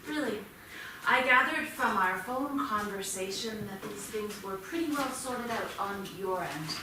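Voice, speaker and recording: A woman's voice: medium pitch, lively intonation, a little slow, natural voice. A woman in a staged play reading playing a protective, wary mother, guarded, clipped and challenging. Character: protective, wary mother of accused teenage student. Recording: echoey theatre, distant mic, noisy.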